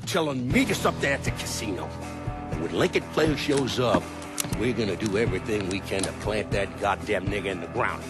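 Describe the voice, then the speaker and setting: Casting: man as cornered American crime boss, bitter and profane, widely swinging pitch, video game drama with loud music. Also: lively intonation, a little fast, natural voice. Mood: grim resolve. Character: desperate, foul-mouthed male mob boss, middle-aged or older. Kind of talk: dramatic game cutscene acting.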